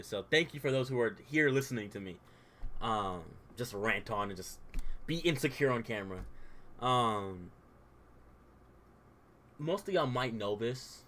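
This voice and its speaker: A man's voice: medium pitch, fairly bright tone, slight background noise. A young man who stutters at times, talking candidly in a loose, informal way and saying "bro" and "like" over and over, as a streamer and dungeon master. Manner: informal, says "bro" and "like" a lot. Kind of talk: casual heartfelt rant on stream.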